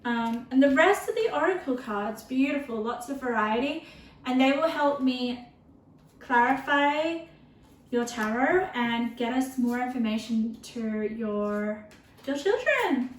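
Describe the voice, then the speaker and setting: A woman giving a casual tarot reading, chatty and rambling with lots of fillers, her voice turning a little croaky. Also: high voice, lively intonation, measured pace, echoey room, recorded from a distance, poor audio. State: voice getting croaky from thirst. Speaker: tarot reader.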